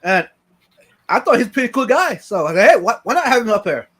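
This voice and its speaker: A man's voice: high-pitched, very animated, fast, a bit bright, slightly rough. A man hosting a livestream, making jokes and giving short prompts to his guests. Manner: joking, brief prompts and backchannels. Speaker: livestream host. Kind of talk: casual livestream chat. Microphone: video call mic.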